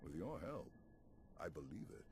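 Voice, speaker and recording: A very deep-voiced space commander, grave and stirring, with big swings of intonation. He orates dramatically like a wartime leader in a game, with noise in the audio. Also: sing-song, normal speed. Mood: sincere. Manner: oratorical, dramatic. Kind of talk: video game voice acting. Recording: noisy game audio capture.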